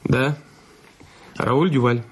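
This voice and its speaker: A man's voice: medium pitch, extremely slow, breathy edge. A man acting an anxious, guilty husband in a radio play, speaking in a hesitant, faltering, self-questioning way. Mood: nervous, evasive. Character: Raoul Duval, anxious, guilty husband with secrets. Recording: music bed under the voice.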